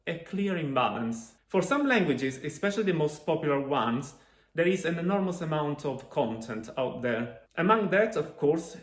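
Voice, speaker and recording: A man whose first language is Italian, a language-learning YouTuber talking to camera in a measured way, often pausing with uh. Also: fairly high pitch, echoey room. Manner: deliberate, with frequent uh pauses.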